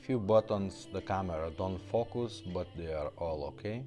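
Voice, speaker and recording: A man's voice: sits low, moderate pace, over music. A man casually demonstrating a car stereo, talking in a plain, matter-of-fact way and often checking in with "okay?". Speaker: car radio repair host.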